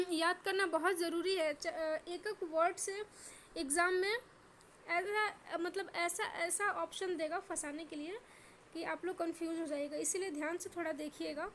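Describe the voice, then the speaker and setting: A woman's voice: very high-pitched, a little hiss or hum. A woman teacher with a sore throat, drilling exam terms in a lesson by asking each question and then answering it.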